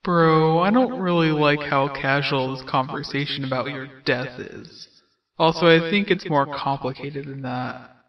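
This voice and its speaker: A man voicing a world-weary, sardonic skeleton brother in a comic dub, with a hesitant, deadpan drawl. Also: fairly high pitch, unhurried, far from the mic, dull audio. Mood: weary, fond. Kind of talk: comic dub character acting.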